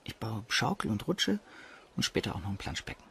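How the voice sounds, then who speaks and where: Radio play: down-to-earth expectant father, lively intonation, creaky low-end grain. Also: brisk, a little hiss or hum, heavily acted. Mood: fond, teasing. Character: practical, affectionate expectant father with a workshop. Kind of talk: German radio drama acting.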